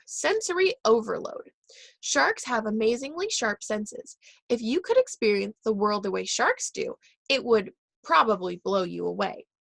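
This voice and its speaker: A woman reading a children's book aloud, pausing and correcting herself now and then. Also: on the high side, creaky, no echo. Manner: reads aloud with pauses and self-corrections. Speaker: reader.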